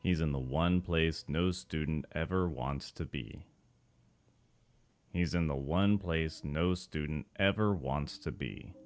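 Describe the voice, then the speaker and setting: Man playing downbeat adult cartoon male, low-pitched, slow, deadpan, money-minded, animation line reads. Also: exaggerated voice. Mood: gloomy. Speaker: voice actor. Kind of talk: animation voice-over takes, repeated lines.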